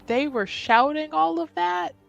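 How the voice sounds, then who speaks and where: A woman's voice: high voice, a little slow, vocal fry, muffled recording, soft background music. A woman playing a tabletop roleplaying game, casually describing what her character does. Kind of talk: casual improvised chat about her character.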